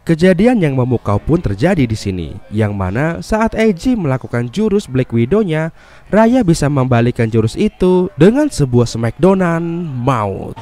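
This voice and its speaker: Indonesian male recap narrator: congested nasal voice, animated pitch, mellow tone, casual slang. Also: moderate pace, soft background music. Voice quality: nasal, stuffy-nosed. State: unwell, congested. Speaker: host and narrator of film recap videos.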